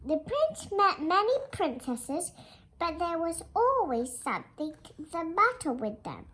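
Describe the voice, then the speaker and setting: Child storyteller, high lively voice, slow careful read-aloud, expressive, some background noise. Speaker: storybook reader. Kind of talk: read-aloud fairy tale narration.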